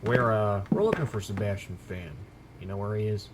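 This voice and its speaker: An actor playing a stern, slightly hesitant police officer in a naturalistic audio drama. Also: male voice, fairly low pitch, animated, a little fast, heavy background noise, natural voice. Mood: official, ominous.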